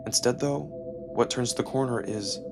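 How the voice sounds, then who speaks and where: A man narrating a first-person story dramatically, with expressive, deliberate pauses. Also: mid-range voice, gravelly. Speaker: narrator and performer. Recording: music behind the voice. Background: music.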